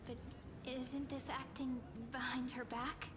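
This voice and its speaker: An actress playing a sweet, perceptive young girl companion in a fantasy game, with gentle, courteous and slightly wry phrasing. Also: sits high, flat delivery, unhurried, noisy background. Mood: earnest, diplomatic. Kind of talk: scripted fantasy game voice acting. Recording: game music loud behind.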